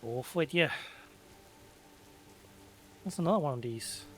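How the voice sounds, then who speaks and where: Animated higher-voiced man commentating on a game. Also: measured pace, slight background noise. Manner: musing aloud to himself. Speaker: gaming let's player. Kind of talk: casual gameplay commentary.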